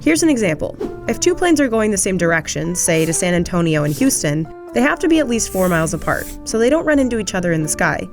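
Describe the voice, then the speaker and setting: Animated woman narrating an explainer. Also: lowish voice, quick pace, faint music behind. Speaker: narrator and reporter.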